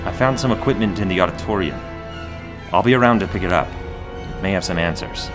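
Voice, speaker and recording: A man's voice: deep voice, quick pace, music in the background, lightly acted. A man voicing a grim, terse masked vigilante hero in an audio drama, clipped and stern.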